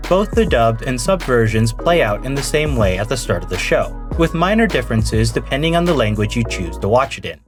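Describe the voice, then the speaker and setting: A man's voice: medium pitch, big pitch swings, brisk, hardly any pauses. A man narrating a video essay. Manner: earnest, argumentative. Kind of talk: scripted narration. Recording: narration over music.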